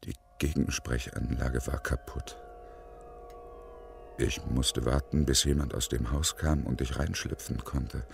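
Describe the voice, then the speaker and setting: Radio drama monologue: dark, brooding male courier, slow and hushed, rock-bottom pitch, faint music underneath. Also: vocal fry, exaggerated voice. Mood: tense, hushed. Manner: hushed, deliberate, halting. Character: brooding male delivery courier, darkly fascinated by danger. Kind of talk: dramatic first-person monologue.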